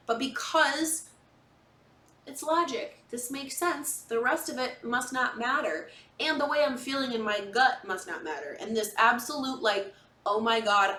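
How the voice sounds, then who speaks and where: A woman's voice: on the high side, sharp tone. A woman in her forties talking casually and candidly to her viewers, emphatic, acting out her own inner dialogue in a confessional monologue. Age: 40s. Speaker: podcast and video host. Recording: echoey room, distant microphone.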